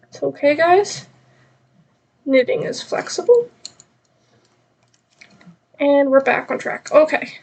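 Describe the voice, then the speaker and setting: A woman's voice: high voice, animated, slow pace, pauses often, darkish tone. A woman with a stuffed-up nose chatting casually and rambling on a live knitting stream, with many ums.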